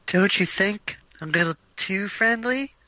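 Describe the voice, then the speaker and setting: A man voicing a sly, bright-toned teasing cowgirl. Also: high voice, measured pace, dry recording, pitched above the performer's own voice, heavily acted. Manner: pointed, teasing. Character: teasing, sly woman of a Western posse. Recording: noisy background hiss.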